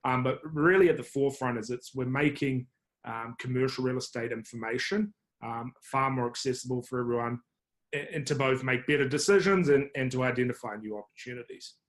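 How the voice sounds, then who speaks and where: Man, New Zealand accent, thirties, mid-pitched voice, measured explanations, filler words, podcast guest. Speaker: marketing executive guest. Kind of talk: business podcast interview.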